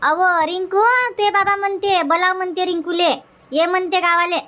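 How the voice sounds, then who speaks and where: Middle-aged farm mother acted by a woman, cartoon comedy: high-pitched, nagging yet caring, homely rural Vidarbha Marathi accent. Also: fairly even intonation, normal speed, pushed and bright. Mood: caring, coaxing.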